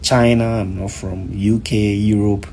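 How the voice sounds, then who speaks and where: A man's voice: on the low side, fairly even intonation, measured pace, warm tone, slight background noise. A man from Africa, a forex trader, walking through a chart in a rambling, conversational way and often asking "right?". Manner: conversational, rambling, fills with "you know" and "right?". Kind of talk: casual chart walkthrough.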